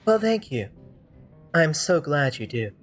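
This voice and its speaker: Streamer role: woman giving wry, off-the-cuff live game commentary in a chatty way, soft music underneath. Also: low voice, sing-song, measured pace. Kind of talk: casual live reaction to a game.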